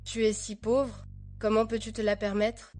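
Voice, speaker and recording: An actress dubbing a practical, matter-of-fact young bride. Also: female voice, fairly high pitch, flat delivery, moderate pace, darkish tone, faint music behind, natural voice.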